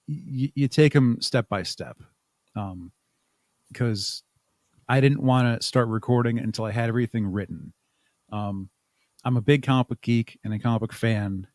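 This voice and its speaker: Male interview guest, mid-pitched, conversational, explains step by step, close dry sound. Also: darkish tone, no room sound. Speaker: interview guest, audio drama creator.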